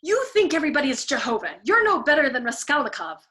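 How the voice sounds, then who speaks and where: A woman reading aloud, voicing an excitable, self-righteous young male airman who argues in a heated, shrill and emphatic way. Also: fast, full character voice.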